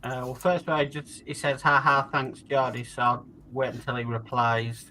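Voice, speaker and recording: A man's voice: medium pitch, little pitch movement, moderate pace, sharp tone. A man hosting a casual live stream and reading out viewer comments. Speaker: host.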